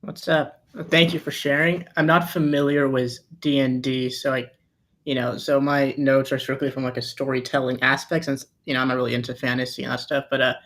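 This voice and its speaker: Even-toned man giving casual critique. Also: medium pitch, fairly quick. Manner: casual, peppered with "like" and "you know". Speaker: participant giving script feedback.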